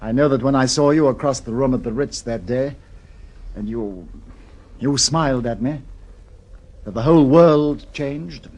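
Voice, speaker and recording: An actor with an English accent playing a besotted, romantic gentleman in an old-fashioned radio drama, gushing and a little hesitant. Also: medium pitch, unhurried, long pauses, natural voice. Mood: dreamy, romantic.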